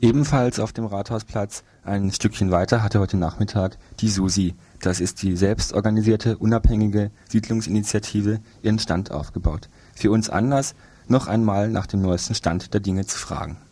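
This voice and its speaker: A man's voice: on the low side, normal speed, mellow, slightly rough, no room sound. A man working as a radio reporter, reading a scripted report.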